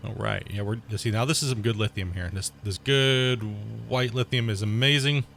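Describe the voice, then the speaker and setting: A man's voice: medium pitch, moderate pace, vocal fry, a little hiss or hum. A man who is sick and losing his voice, giving casual, rambling commentary over his own gameplay and explaining terms as he plays. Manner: rambling, explains terms, excited outbursts at mishaps. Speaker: gaming channel host.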